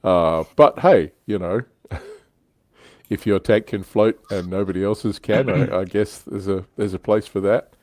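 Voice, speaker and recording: Low-voiced man, dry close sound. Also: unhurried, a little mellow, dry recording. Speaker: livestream host. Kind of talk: casual hobby panel chat, reading out viewer comments.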